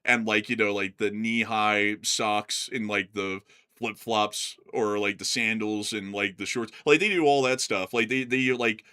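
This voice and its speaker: A man's voice: on the low side, steady tone, measured pace, pushed and bright, clean tone. A man chatting casually as a host, rambling with lots of fillers and laughing easily while he pulls things up on screen. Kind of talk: casual chat. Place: screen-sharing while talking.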